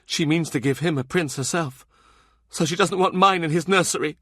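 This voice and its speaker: Man voicing a fretful young noblewoman, lifted pitch. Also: moderate pace, fairly bright tone, dry recording, clean studio sound. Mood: bitter, confiding. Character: young widowed noblewoman, mother of two, fretful, confiding, wistful.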